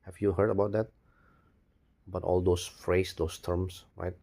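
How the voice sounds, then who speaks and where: Man, non-native English, low-pitched, soft dark tone, halting, repetitive, off-the-cuff monologue. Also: level tone, vocal fry. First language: not English. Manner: repetitive, halting, restarts words and phrases often. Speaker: video blogger. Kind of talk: spontaneous rambling monologue.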